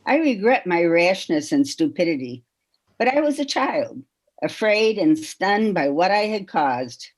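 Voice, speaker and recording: A woman reading a poem aloud with measured, deliberate phrasing. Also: fairly low pitch, very animated. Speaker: poetry reader.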